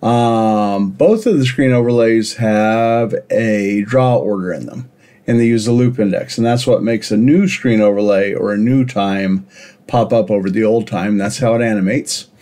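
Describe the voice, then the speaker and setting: A man casually walking through a software demo over a screen recording, with plenty of ums and uhs, for his ham radio hobby. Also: lowish voice, moderate pace. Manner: relaxed, filler-heavy explaining.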